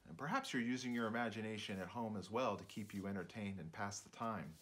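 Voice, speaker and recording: A man's voice: on the low side, fast talker, a bit bright. A man in his fifties, a children's author, speaking informally and reading storybooks aloud at home in his book-lined studio.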